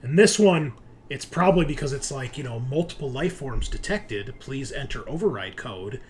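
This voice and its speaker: A man's voice: mid-range voice, animated, normal speed, a crowd in the background. A man narrating as game master in a casual, joking, informal way. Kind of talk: casual narrating of an online tabletop roleplaying game.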